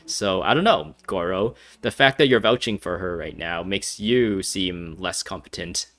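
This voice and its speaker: A man with a low, bright voice commentates casually on a game. He rambles through his thoughts in an easygoing playthrough style, and the sound is dry and close. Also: normal speed, no echo. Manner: rambling, laughs often while talking. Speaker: game streamer playing through a story quest.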